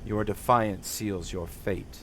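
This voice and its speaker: Man playing a low-voiced, stern old grandfather. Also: steady tone, slow pace, fairly bright tone, a little hiss or hum. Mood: cold, threatening. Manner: formal, commanding.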